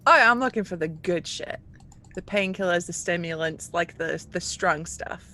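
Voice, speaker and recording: A woman's voice: on the low side, moderate pace, sharp tone. A woman playing a tabletop roleplaying game, chatting casually and improvising her character's actions. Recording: faint background noise, keyboard clicking.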